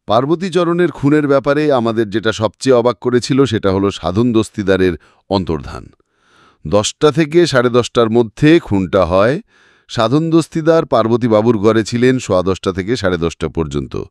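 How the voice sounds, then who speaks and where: A confident male detective played by a man reading a story, methodical and pressing. The pitch swings widely as he drives each point home, and the recording is close and dry. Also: lively intonation, moderate pace, darkish tone, dry recording, close to an everyday voice. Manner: methodical, emphatic, accusing. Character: confident male private detective laying out a murder case. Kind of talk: dramatic audiobook character reading.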